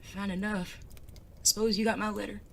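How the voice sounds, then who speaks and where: A woman's voice: mid-range voice, level tone, normal speed, gravelly, a bit bright, slight background noise. A woman acting in an audio drama as a grown bandit son, charming and evasive, with a genteel, wordy, hesitant way of talking. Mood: nervous.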